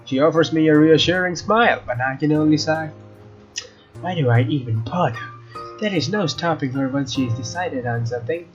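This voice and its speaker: Let's play host: male, slightly high voice, casual flippant reading, slightly dull mic, faint music. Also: moderate pace. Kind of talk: reading game text aloud with joking casual asides. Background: faint game music.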